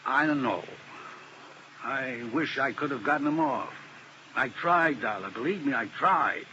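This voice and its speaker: A man's voice: mid-range voice, measured pace, sharp tone. A man playing an anxious, defensive, unsuccessful lawyer in an old radio drama, earnest and pleading. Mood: bitter, pleading. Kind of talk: 1950s radio drama acting. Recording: muffled, hissy old radio recording.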